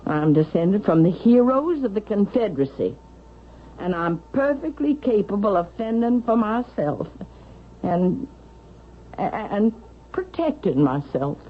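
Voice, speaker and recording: An actress playing a proud, suspicious elderly Southern mother in a vintage radio drama, haughty, sharp and demanding. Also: medium pitch, sing-song, measured pace. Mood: indignant, haughty. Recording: dull vintage radio broadcast, faint noise.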